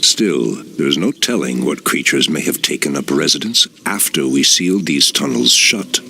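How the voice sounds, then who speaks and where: A man voicing an ancient, grave elf druid leader in a game cutscene, speaking in a deep, solemn voice. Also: animated, moderate pace, gravelly, slight background noise, heavily acted. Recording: voice over loud music.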